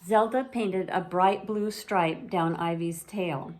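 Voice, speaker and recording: A woman storyteller reading a children's bedtime story aloud in a measured, expressive way. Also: fairly low pitch.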